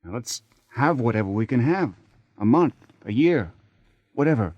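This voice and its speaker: An actor in a radio drama playing a persistent, impatient theater man, smooth-talking and sardonic as he presses his case. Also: medium pitch, animated, normal speed, no echo, muffled recording, natural voice. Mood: persuading. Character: persistent, persuasive theater man seducing a married woman, impatient. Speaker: lead actor.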